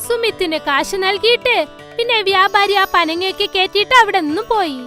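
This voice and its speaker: A woman's voice: very high voice, fairly quick, bright, forceful tone. A woman narrating a scripted children's fairy tale as storyteller. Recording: faint music under the voice.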